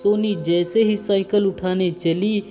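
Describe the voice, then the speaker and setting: A woman's voice: mid-range voice, steady tone, unhurried, mellow, faint music behind. A woman narrating a scripted cartoon story as its storyteller.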